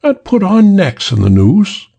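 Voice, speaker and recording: A man voicing a young, exasperated woman in a gang, pitching his voice up. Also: huge pitch swings, unhurried, creaky, dark tone, heavily acted. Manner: exasperated, pleading.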